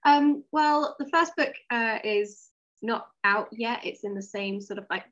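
A woman's voice: a little high, measured pace, a bit bright, highs cut off. A woman writer talking casually as an interview guest, explaining things thoughtfully and piece by piece.

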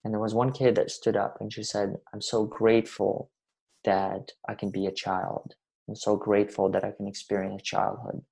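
Man, 20s, from Russia, podcast guest; low, level delivery, earnest and unhurried. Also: flat delivery, vocal fry, darkish tone, slightly rough, low-quality recording. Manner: earnest, measured, speaks in long winding sentences. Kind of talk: reflective interview talk.

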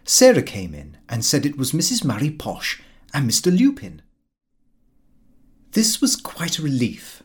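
Husky, highly animated male audiobook narrator. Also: measured pace, pauses often. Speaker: audiobook reader. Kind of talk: scripted narration of a comic diary novel.

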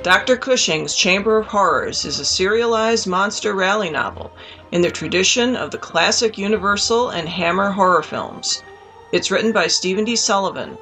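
An announcer reads an ad in a bright, crisp, forward voice. The delivery is smooth and even, with light music behind. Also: medium pitch. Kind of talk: polished ad voice-over.

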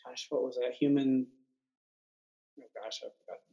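A man's voice: medium pitch, steady tone, moderate pace, dark tone, muffled recording, slight background noise. A man chatting casually as a podcast guest in a loose, easygoing way. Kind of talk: casual chat.

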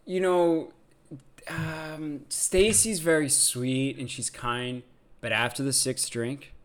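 A man's voice: medium pitch, fairly even intonation, takes it slowly. A man from New Jersey co-hosting a casual podcast, joking and teasing his guest. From New Jersey, USA. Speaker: podcast co-host. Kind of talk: casual chat.

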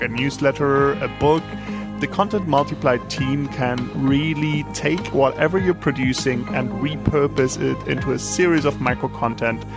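An earnest man hosting a podcast, reading his lines in an upbeat, steady voice at a middling pitch. Clear music plays under him. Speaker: podcast host. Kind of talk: scripted ad read and interview intro.

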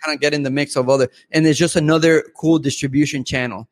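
A man's voice: a little high, fairly even intonation, quick pace, no echo. Chatty man, native Spanish speaker. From Mexico. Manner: rambling, lots of fillers and restarts. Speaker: podcast guest. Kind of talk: casual interview chat.

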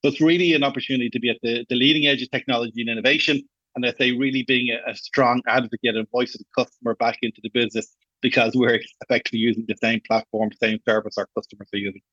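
A man's voice: medium pitch, fairly even intonation, very fast, a bit bright, slightly rough, no room sound, highs cut off. A middle-aged Irish man giving conversational answers as a guest in an interview, explaining his work at length. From Ireland. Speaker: podcast guest, customer support executive.

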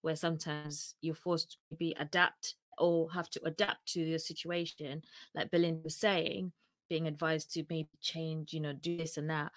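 A woman's voice: sits low, dry recording. A woman from Uganda with a mostly English accent carrying Ugandan traces, chatting casually and laughing often. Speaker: podcast guest co-host. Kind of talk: casual chat.

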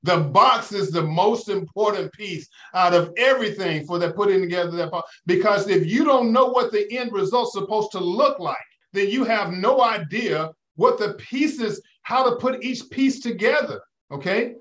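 This is a man with a high voice answering listener questions as a financial advisor. He works in rhetorical questions and uses analogies. He keeps checking that the listener is with him, the way a preacher would. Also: animated, normal speed, few pauses, fairly bright tone. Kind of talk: call-in advice talk.

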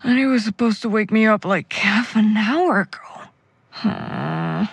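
A woman's voice: medium pitch, expressive, unhurried, gravelly, slightly rough, heavily acted. A woman playing a caring, wry young student in an audio drama, speaking casually and hesitantly. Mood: groggy, casual. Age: young adult. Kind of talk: naturalistic audio drama acting.

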